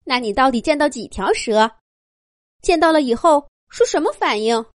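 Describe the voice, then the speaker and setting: Female narrator as a young male rabbit, high pitch, very animated, curious, pestering, excitable, storybook character. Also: dry recording, clean studio sound. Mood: eager, curious. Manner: insistent, excitable rapid questioning.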